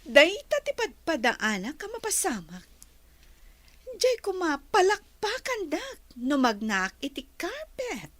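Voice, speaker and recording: A chatty young woman acted by an actress in radio-drama style. Her voice is very high and animated, bouncing around with gossipy excitement. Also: takes it slowly, dry recording, exaggerated voice. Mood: excited, chatty. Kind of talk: Ilocano radio drama acting.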